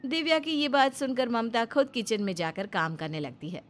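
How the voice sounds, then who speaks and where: A woman's voice: on the high side, big pitch swings, moderate pace, noisy background. A woman giving scripted narration of a family story as its narrator.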